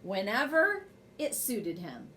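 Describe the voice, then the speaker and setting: A woman reading a children's picture book aloud as a bedtime story, in an expressive, measured way. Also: mid-range voice, a little hiss or hum.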